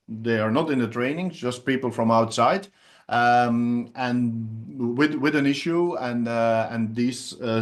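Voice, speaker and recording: A middle-aged man with a Belgian Dutch accent talks in a relaxed video-call interview. His speech is halting, full of fillers and restarts, at an everyday middle pitch. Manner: hesitant, many fillers and restarts. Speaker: hypnotherapist and trainer. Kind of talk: casual interview chat.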